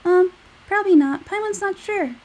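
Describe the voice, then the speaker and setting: Squeaky, high-pitched little fairy sidekick. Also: female voice, normal speed, dark tone, highs cut off. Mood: surprised, unsure. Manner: exclamatory, talks in third person. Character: squeaky, childlike little fairy companion, curious and blunt. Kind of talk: video game character dialogue read aloud.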